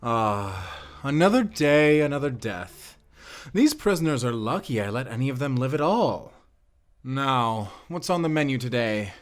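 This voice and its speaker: A man's voice: mid-range voice, sing-song, a little slow. A man playing a sadistic prison warden in a fantasy audio drama, musing aloud and savoring his words. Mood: gloating, musing.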